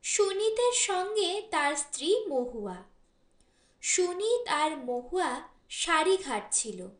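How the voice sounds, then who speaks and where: A woman reads a literary short story as an audiobook narrator. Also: high voice. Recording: roomy, echoing voice recording.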